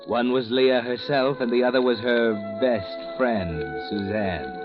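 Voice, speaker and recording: An actor playing a mysterious male narrator who walks by night, telling a 1940s radio drama in a slow, knowing voice, on a dull old broadcast with loud music behind. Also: mid-range voice, steady tone, lightly acted. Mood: brooding. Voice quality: slow, ominous, knowing delivery.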